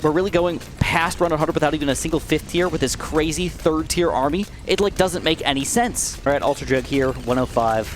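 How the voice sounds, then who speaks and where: A young man giving casual, excitable gameplay commentary, his voice a bit dry and raspy, swinging from upbeat outbursts to mumbled asides. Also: fairly high pitch, a little fast, hardly any pauses, slight background noise. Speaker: gaming YouTuber.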